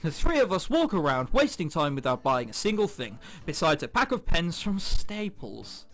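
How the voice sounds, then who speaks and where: A man's voice: fairly high pitch, big pitch swings, moderate pace, fairly bright tone. A man hosting a gaming video, reading a visual novel aloud with jokey, casual asides. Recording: music behind the voice. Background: game background music.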